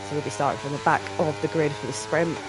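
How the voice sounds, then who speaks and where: A live sim racing commentator with a stuffy cold, reacting quickly and excitedly to lap times. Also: medium pitch, background noise. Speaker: race commentator.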